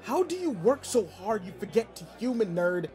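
A man's voice: high-pitched, sing-song, moderate pace, warm tone, soft background music, a faint crowd. A man playing a brusque, anxious young student bully who secretly cares, in an audio roleplay drama with emotional delivery. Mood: flustered, frantic.

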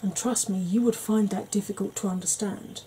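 A soft-spoken woman in her forties with a Welsh-tinged northern English accent chats slowly through a makeup tutorial. Her voice is very dark and mellow, with a wry edge. A faint hum sits behind her in a small room at home. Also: medium pitch, steady tone, poor audio. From Wales and Yorkshire. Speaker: makeup vlogger.

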